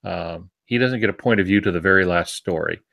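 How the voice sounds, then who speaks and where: A man with a low voice talks casually as an author on a writing podcast. His delivery is halting and rambling, with frequent pauses as he explains things. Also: gravelly, a bit bright. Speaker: novelist and podcast panelist. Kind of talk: casual podcast chat about books and writing.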